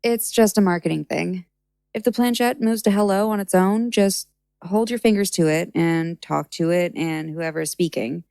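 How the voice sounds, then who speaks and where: A woman's voice: fairly low pitch, moderate pace, creaky, darkish tone, no room sound. A woman playing a chatty, polite stage magician with casual, slightly hesitant phrasing in a naturalistic audio drama. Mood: polite, explaining.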